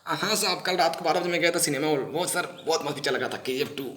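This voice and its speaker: A man's voice: fairly high pitch, fast talker, a bit bright, roomy sound, close to an everyday voice. A man performing an audition monologue as a small-time pickpocket, anxious and servile, pleading innocence to police in whiny, repetitive street slang. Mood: startled, then casual, servile. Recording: noisy amateur recording with clicks.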